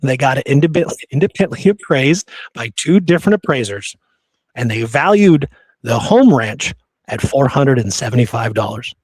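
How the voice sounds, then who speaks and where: A man's voice: medium pitch, animated, normal speed, breathy edge, no echo, poor audio. A man hosting a casual history discussion, speaking in an emphatic, argumentative and exasperated way. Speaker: podcast host.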